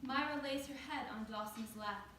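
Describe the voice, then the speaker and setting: A woman's voice: a little high, normal speed, sharp tone. Distant, echoey woman reading stage directions. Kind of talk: scripted stage directions read aloud. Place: indoor stage, recorded from a distance. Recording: echoey, noisy room from far off.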